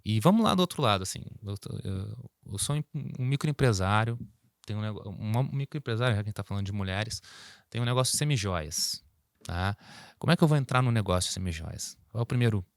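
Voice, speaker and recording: A man co-hosting a business podcast, talking through examples in a lively, animated way. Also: fairly low pitch, fast talker, creaky, no echo, studio quality. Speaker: podcast co-host. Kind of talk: casual business chat.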